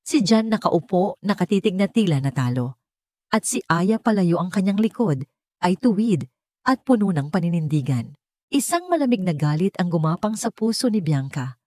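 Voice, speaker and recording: Filipina woman narrating a dramatic story, kinda low voice, really dry close sound. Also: sing-song, moderate pace, dark tone, no echo.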